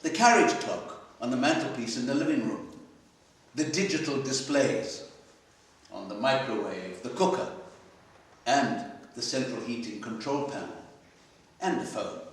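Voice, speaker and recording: A man gives a live, expressive reading of literary fiction, measured and deliberately paused, in the manner of a practised storyteller. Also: medium pitch, distant mic. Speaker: story reader at a literary festival. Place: library hall, live festival reading. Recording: very echoey hall, picked up from afar.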